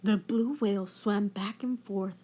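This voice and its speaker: A woman teacher reading a children's picture book aloud in an expressive storytelling manner. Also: mid-range voice, unhurried.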